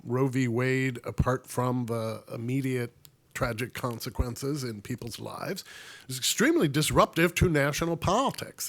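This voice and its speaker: A man with a hoarse voice from a cold, speaking as a panelist with earnest, stammering restarts that build into emphatic stretches. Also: medium pitch. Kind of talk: panel discussion remarks.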